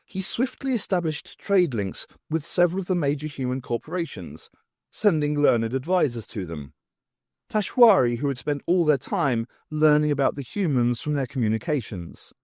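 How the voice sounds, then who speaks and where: A man narrates scripted science fiction in a medium-pitched voice. His intonation moves widely, with deliberate phrasing and emphasis on key words. The sound is close and dry, free of room reflections. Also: darkish tone, no echo. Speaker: audiobook narrator.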